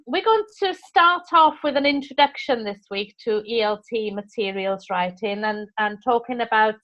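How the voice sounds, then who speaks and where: A woman with a Welsh accent leads an online course over a video call, her voice sitting slightly high. She talks in a warm, encouraging way with frequent restarts. The call audio sounds dull and lo-fi. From Wales. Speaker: course tutor. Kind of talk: live online teaching session.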